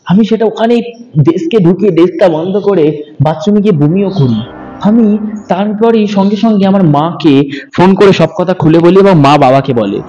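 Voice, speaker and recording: A man narrating a true horror story in the first person, earnest and pausing to correct himself now and then. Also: high-pitched, dark tone, lo-fi sound, soft background music. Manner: earnest, with small self-corrections.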